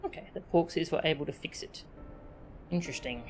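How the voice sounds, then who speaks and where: A woman's voice: deep voice, a little fast, a little mellow, faint music behind. A woman streaming a video game, giving casual live commentary and reading dialogue aloud.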